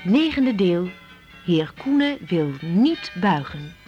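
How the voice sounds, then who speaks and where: Woman, scripted radio drama announcement, unhurried, slightly low pitch, muffled vintage recording. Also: very animated, soft background music. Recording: dull old radio recording.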